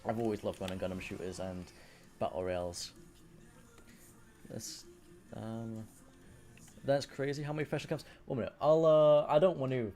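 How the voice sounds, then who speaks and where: A young man from Wales with a Welsh accent softened by English, chatting casually on a live stream, rambling, swearing and answering chat. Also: mid-range voice, steady tone, moderate pace, pauses often, soft background music. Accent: Welsh with English influence.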